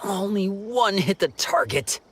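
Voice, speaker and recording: A woman's voice: mid-range voice, animated, unhurried, noisy background, close to an everyday voice. A woman playing a discouraged young resistance trainee, glum and hesitant, in an anime game dub. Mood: frustrated.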